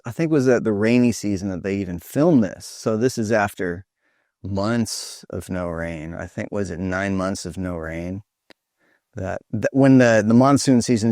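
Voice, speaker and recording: A man hosting an informal online presentation, speaking in an earnest, halting way over a video call. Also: on the low side, darkish tone, no echo, clean studio sound.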